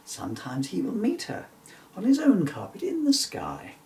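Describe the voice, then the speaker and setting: A middle-aged man narrates a rhyming storybook with theatrical flair. His pitch swings widely and has a creaky grain. He sounds slightly distant from the microphone, with faint noise behind. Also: moderate pace. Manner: animated, theatrical. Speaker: children's author reading their own story-poem.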